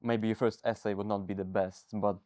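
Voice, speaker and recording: A teenage boy speaking in a measured, articulate way as a student guest in a small studio. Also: on the low side, level tone, dark tone, no echo. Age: teens. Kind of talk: casual interview chat. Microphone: lapel mic.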